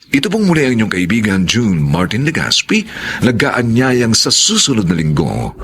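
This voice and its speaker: A man's voice: lowish voice, wildly swooping pitch, moderate pace, fairly bright tone, slight background noise. A man with a Filipino accent formally and courteously reading a scripted radio drama sign-off as host.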